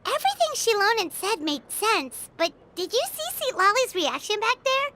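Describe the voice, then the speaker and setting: A woman voicing a tiny, cheerful, chatty fairy companion in a video game, with a squeaky childlike voice and exclamatory delivery. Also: very animated, moderate pace, a little hiss or hum, full character voice. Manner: refers to self in third person, exclamatory. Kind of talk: video game character acting. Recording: loud music behind. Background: music.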